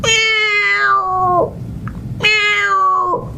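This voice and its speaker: مواء قطة سوداء صغيرة بصوت حاد. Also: male voice, very high-pitched, level tone, very slow, creaky, noisy background, voice pushed up, heavily acted. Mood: plaintive.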